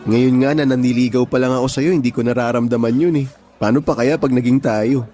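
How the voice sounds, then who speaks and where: A man's voice: medium pitch, steady tone, brisk, warm tone, faint music behind, lightly acted. A man playing a devoted, sweet-talking young suitor in a Filipino radio love drama, earnest and reassuring.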